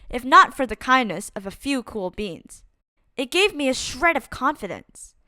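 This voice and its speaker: Slow, lively high-voiced woman reading a storybook. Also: dry recording, studio quality. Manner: deliberate, dramatic delivery with acted-out exclamations. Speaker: storyteller reading a children's picture book aloud. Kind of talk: expressive read-aloud storytime narration for kids.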